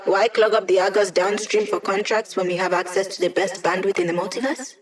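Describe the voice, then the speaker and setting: A woman's voice: medium pitch, fairly even intonation, a little fast, close to an everyday voice. Casting: actress as a young female hacker turned digital mind, wry and playful squad helper for a video game, mid-range voice. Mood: wry, focused. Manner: casual, playful, teasing. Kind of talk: video game character voice lines.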